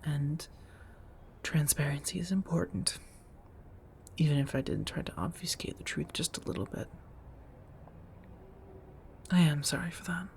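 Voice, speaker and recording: A woman as a low, soft-spoken centuries-old vampire roommate. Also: fairly even intonation, gravelly, in a whisper. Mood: apologetic, fond. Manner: measured, formal wording with endearments, hesitant confessions. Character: centuries-old female vampire roommate, gentle, guarded, affectionate. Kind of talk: soft-spoken ASMR roleplay.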